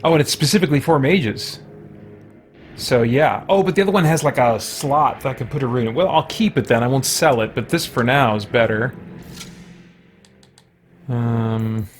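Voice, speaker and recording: A man's voice: medium pitch, moderate pace, long pauses, faint music behind. A man giving casual livestream game commentary, thinking aloud in short remarks. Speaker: video game streamer.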